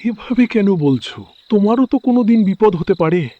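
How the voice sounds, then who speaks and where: A man's voice: high voice, lively intonation, normal speed, phone-quality sound. A man voicing a poor, kind-hearted village traveller in a cartoon moral story, polite and theatrical in delivery. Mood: hurt.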